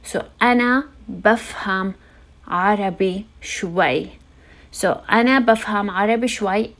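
A woman hosts a relaxed language lesson podcast, walking through everyday phrases one at a time. She says each one slowly and clearly so that listeners can repeat after her, in a friendly, casual teaching tone. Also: mid-range voice. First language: English.

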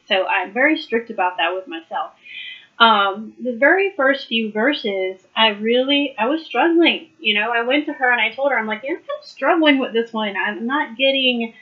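Woman, live study host, hoarse, chatty, muffled stream audio. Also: fairly high pitch. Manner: chatty, laughs often, warm southern "y'all" address. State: losing her voice. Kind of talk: casual live Bible study talk.

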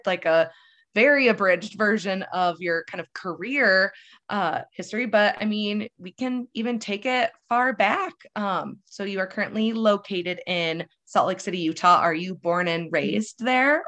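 Casting: woman podcast co-host, loose and rambling, quick to laugh, talking over a video call. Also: medium pitch, lively intonation, a bit bright. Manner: chatty, rambling, laughs often. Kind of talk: casual conversational interview.

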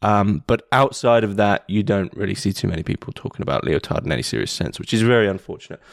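A man hosting a podcast interview in a casual way, often pausing with fillers and restarting his sentences. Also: fairly low pitch, gravelly, no room sound, studio quality. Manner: hesitant, many fillers and restarts. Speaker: podcast host.

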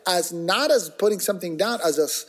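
A man in casual conversation, telling stories in an animated, discursive way, full of restarts and acted-out quotes. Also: sits high, a little fast.

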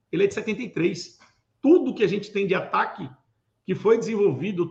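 Gravelly Brazilian man in a roomy, dull recording. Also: sits high. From Pernambuco, Brazil. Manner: thoughtful, advice-giving, many fillers. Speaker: guest, security event organizer. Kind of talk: casual interview talk.

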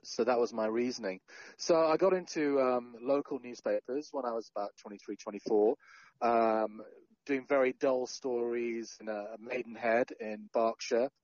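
A man in his forties, raised in Sri Lanka and North Wales, talking about his career as a guest in a podcast interview. He speaks in a relaxed, self-deprecating way with joking asides. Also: mid-range voice, moderate pace, no echo, lo-fi sound. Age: 40s. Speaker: podcast guest, journalist and founder. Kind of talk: casual interview conversation.

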